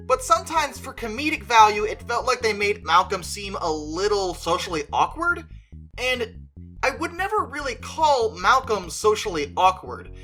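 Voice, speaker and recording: A woman's voice: medium pitch, sharp tone. A woman talking conversationally through an opinionated fan review, with emphatic stress on key words. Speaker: video creator reviewing films as a fan.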